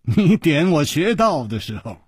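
A man's voice: on the high side, sing-song, measured pace, slightly rough, no room sound, studio quality, heavily acted. A man voicing a composed, smiling martial-arts hero in an audiobook, speaking with teasing courtesy and assurance. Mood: calm, wry.

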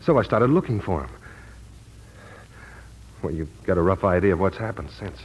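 A man's voice: low voice, expressive, normal speed, slightly rough, poor audio. A man playing a guarded, weary, self-doubting war veteran in a 1940s radio drama, with terse, downbeat speech. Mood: troubled, confessional.

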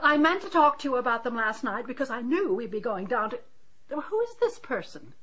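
A woman's voice: fairly high pitch, sing-song, fairly quick, a bit bright. An actress playing a fussy, snobbish middle-aged society mother in a radio drama, with an imperious, disapproving, clucking manner. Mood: fussy, gushing. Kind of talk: BBC radio drama acting.

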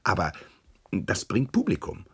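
An actor in a German radio play, playing a boastful, scheming animal park director, effusive and emphatic, with hesitations and repeated words. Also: male voice, on the low side, wildly swooping pitch, a little slow, a bit bright, husky, full character voice.

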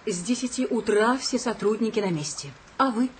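Give me a woman playing an imperious, fed-up editor in a radio drama, fairly high voice, faint ambience behind. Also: normal speed, a little hiss or hum, natural voice. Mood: exasperated. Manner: imperious, indignant. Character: haughty, exasperated female editor, bossy and scornful. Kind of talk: radio play acting.

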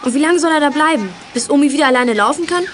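A young actress playing a feisty, sharp-tongued schoolgirl detective in a radio play, outspoken and sarcastic. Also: high voice, rapid-fire, slight background noise, lightly acted. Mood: indignant, sarcastic. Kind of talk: youth radio play acting.

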